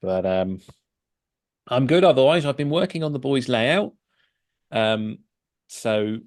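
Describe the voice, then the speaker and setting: A man's voice: fairly low pitch, darkish tone. A middle-aged man hosting a casual podcast, chatty and hesitant, with many fillers and restarts. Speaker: podcast host.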